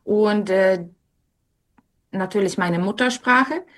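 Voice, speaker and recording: Slow, hesitant young woman guest. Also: medium pitch, fairly bright tone. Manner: hesitant, with many fillers. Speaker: interview guest. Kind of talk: casual spoken answers.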